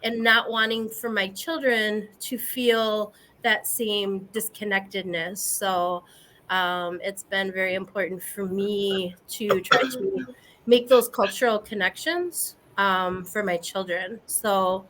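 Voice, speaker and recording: A woman from the US Midwest whose first language is Spanish, speaking as a guest on a video call panel in a reflective, earnest way with frequent pauses. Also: medium pitch, a bit bright, a little hiss or hum, poor audio. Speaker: guest panelist, community organizer. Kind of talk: casual panel chat.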